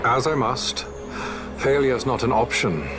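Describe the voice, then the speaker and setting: An actor playing a grave, shrewd noble resistance leader in a fantasy game, with measured, formal, archaic speech. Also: male voice, medium pitch, lively intonation, over music, natural voice. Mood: firm, calculating. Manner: measured, stately, archaic phrasing.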